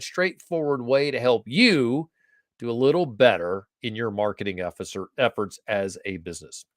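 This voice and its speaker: A man's voice: medium pitch, no echo, studio quality. A man hosting a casual live online interview, chatty and playful as he welcomes his guest. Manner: chatty, jokey, animated. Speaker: host. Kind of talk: casual livestream interview chat. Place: Atlanta area.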